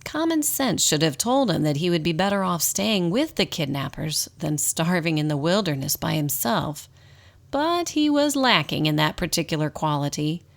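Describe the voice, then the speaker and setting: A woman narrating a scripted radio drama in an expressive storybook style. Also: on the low side, vocal fry.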